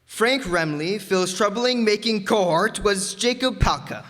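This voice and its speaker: A man's voice: sits high, lively intonation, measured pace, a bit bright. A man announcing cast credits in old-time radio style to an audience in a town hall. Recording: echoey hall, crowd, noisy background.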